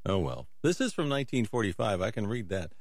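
A man's voice: lowish voice, sing-song, fast, no room sound, clean studio sound. A man hosting a music radio show, chatting casually in a folksy, rambling way with self-deprecating asides.

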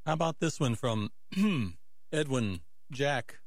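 A man's voice: mid-range voice, lively intonation, slow pace, no room sound, clean studio sound. A man hosting a music radio show, chatting casually and conversationally to listeners between records. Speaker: radio show host, disc jockey.

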